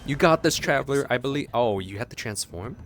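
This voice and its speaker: A man's voice: mid-range voice, animated, a little fast, faint music behind. A man hosting a casual video game reaction, with wry, playful asides.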